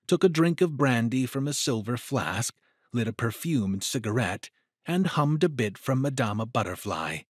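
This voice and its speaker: Slow, husky, suspenseful male narrator. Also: mid-range voice, no room sound, clean studio sound. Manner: suspenseful, deliberate. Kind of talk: dramatic scripted story narration.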